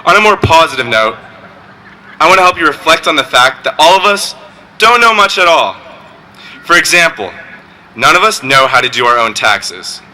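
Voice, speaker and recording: A teenage boy, valedictorian, giving a graduation speech with joking, deadpan asides. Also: a little high, normal speed, pauses often, rough recording. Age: teens. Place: outdoor graduation ceremony.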